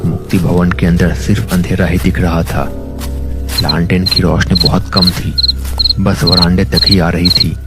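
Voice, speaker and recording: Deep-voiced male horror storyteller. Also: unhurried. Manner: steady, suspenseful. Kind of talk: first-person horror story narration. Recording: narration over loud music. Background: music.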